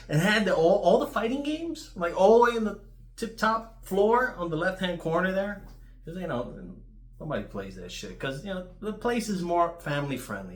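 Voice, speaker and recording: A man's voice: medium pitch, fairly bright tone. New dude chatting casually about his stuff, blunt and sweary, roomy echo on the sound. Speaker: YouTuber telling stories about video games. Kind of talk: casual rambling storytelling.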